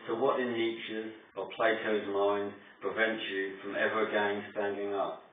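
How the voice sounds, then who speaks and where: A man gives a solemn poetry reading in deliberate, declamatory phrases broken by dramatic pauses. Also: on the low side. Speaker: poet reading own work. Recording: distant, very echoey, thin phone-like sound.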